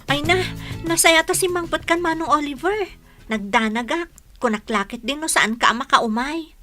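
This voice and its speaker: A woman's voice: sits high, normal speed, pushed and bright, faint music behind. A woman playing a sweet, helpful young student with an Ilocano Filipino accent in a comedy radio drama, friendly and chatty. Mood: concerned, sweet. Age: young adult. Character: sweet, helpful young female student helping at a market stall.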